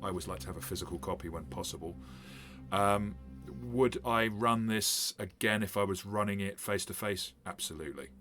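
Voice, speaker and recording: A man's voice: on the low side, brisk, a bit bright, faint music behind. A man who runs tabletop games, giving a casual, rambling commentary while unboxing a game set, with many fillers and restarts. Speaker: game master and reviewer.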